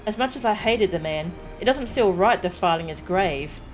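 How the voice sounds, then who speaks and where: A woman's voice: lowish voice, expressive, normal speed, faint music behind, lightly acted, poor audio. A woman voicing a headstrong, emotional young woman resistance leader, reading video game dialogue aloud in an emphatic, exclamatory way. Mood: reluctant, resolute.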